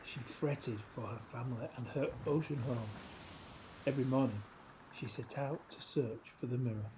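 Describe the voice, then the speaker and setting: A man reading a children's story aloud in a gentle, expressive way, with hushed dramatic drops. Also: mid-range voice, normal speed, background noise. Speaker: storybook reader. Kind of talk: scripted children's story narration, read aloud.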